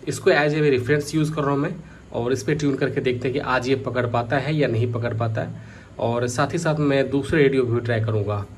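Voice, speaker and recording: A man's voice: medium pitch, fairly quick, slight background noise. A man casually narrating a hands-on product test as a hobbyist vlogger.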